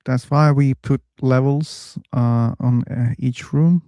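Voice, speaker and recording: A man hosting a casual live online discussion room, chatty and quick to laugh. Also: mid-range voice, dry recording, studio quality. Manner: relaxed, chatty, laughs easily. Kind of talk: casual live-stream chat.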